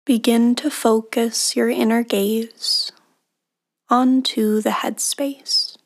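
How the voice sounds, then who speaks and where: A woman leading a guided meditation in a hushed, breathy, soothing delivery with long pauses between phrases. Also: fairly high pitch, little pitch movement. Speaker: meditation guide, podcast host.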